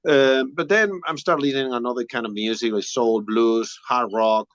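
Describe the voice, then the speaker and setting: A man from Spain with a strong Spanish accent, talking casually as an interview guest, often pausing to search for English words. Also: medium pitch, fairly bright tone, no room sound, highs cut off. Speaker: musician guest.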